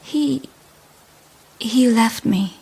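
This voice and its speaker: Troubled, slow-spoken young bride. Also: female voice, on the high side, mellow, heavily acted. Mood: shaken, guarded. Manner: intense, halting, often dropping to whispers. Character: troubled, guilt-ridden young bride, fearful and secretive. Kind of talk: audio drama acting. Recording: clear ambient sound behind voice.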